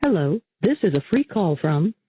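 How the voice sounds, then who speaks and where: A woman's voice: fairly low pitch, very animated, moderate pace, husky. A woman reading formal automated jail phone prompts in segmented recorded phrases. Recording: dull, noisy jail phone line.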